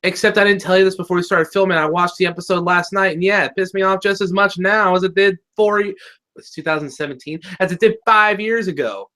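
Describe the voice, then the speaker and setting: Excitable man on a webcam. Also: high voice, brisk. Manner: excitable, joking, trails off and restarts. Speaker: co-host of a review video. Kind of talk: casual banter, ranting. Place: indoor room.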